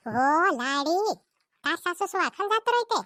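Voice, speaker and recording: A woman's voice: very high voice, expressive, moderate pace, very noisy, pitched above the performer's own voice. A woman voicing a nosy older village woman who keeps questioning the young wife. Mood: prying. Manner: prying, questioning.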